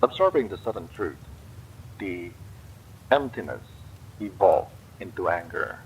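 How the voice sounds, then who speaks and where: A man reading a horror story aloud, in a slow and expressive way. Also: low-pitched, a little hiss or hum, poor audio. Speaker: story reader.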